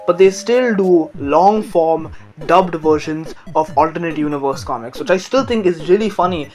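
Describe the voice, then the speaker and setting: A man's voice: a little high, moderate pace, a little mellow. A young adult man hosting a casual, comedic commentary rant, joking crudely with sarcasm and exaggeration. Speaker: YouTube commentary host. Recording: music behind the voice. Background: background music.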